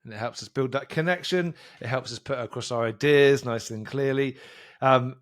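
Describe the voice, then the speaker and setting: A man of middle pitch hosting a podcast interview. He talks in a loose, chatty way and often circles back to restart his sentences. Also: brisk. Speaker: podcast host. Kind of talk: casual interview talk.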